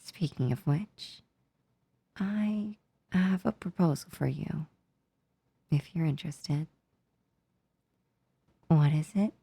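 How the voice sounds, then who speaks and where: A soothing, affectionate demoness acted by a woman for an ASMR roleplay. Her soft, breathy voice sits low with a gravelly fry. She reassures tenderly and intimately, very close and dry. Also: no room sound, full character voice. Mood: tender, sympathetic. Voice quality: soft, breathy, often near whispering. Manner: slow, soothing, tender, unhurried. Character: gentle, comforting female sleep paralysis demon, affectionate and reassuring.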